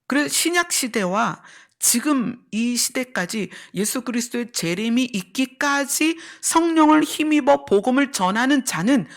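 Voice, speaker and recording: A woman pastor preaching and teaching, explaining step by step, repeating key phrases and putting questions to her listeners. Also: on the high side. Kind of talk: church sermon with teaching and illustrations.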